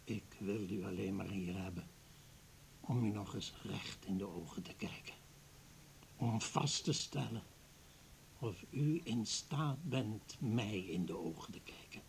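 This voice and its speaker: An actor playing an embittered, grieving older professor in a radio play, husky and weighty, full of wounded accusation. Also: male voice, on the low side, measured pace, a little hiss or hum. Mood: bitter, wounded. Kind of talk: Dutch radio play acting.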